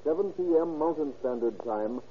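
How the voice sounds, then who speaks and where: A man with a rough, grainy voice making station announcements in 1930s radio style. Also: a little high, lively intonation, moderate pace, a little hiss or hum. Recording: muffled vintage 1930s radio recording.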